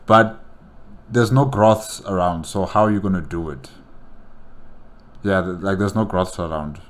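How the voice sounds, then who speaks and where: A man casually reacting to a story for his viewers, excitable, laughing often and tripping over his words. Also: low-pitched, a little slow. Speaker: reaction video host.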